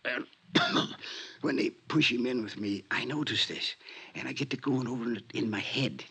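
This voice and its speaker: A man's voice: mid-range voice, normal speed, bright, forceful tone, exaggerated voice. An actor playing an ailing, short-winded old male convict, eager and pleading, talking in conspiratorial street slang in a 1950s radio drama. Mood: sly, eager. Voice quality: raspy, breathy, gasping. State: weak, coughing, short of breath.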